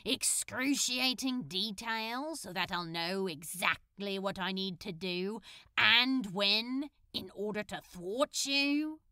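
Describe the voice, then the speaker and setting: Audiobook male superhero voiced by a woman: cocky, casual, wry, sharp-toned, very dry close recording. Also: mid-range voice, slow pace, smooth, dry recording. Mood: sarcastic. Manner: casual, teasing, drawling. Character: cocky, sarcastic male superhero, captive but unimpressed. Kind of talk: fan fiction audiobook character voice.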